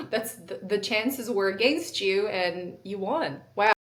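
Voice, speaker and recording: A woman's voice: medium pitch, animated, takes it slowly. A woman in her thirties hosting a podcast interview, chatty and laughing often, cutting in on her guest. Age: 30s. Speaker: podcast host. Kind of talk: casual interview chat.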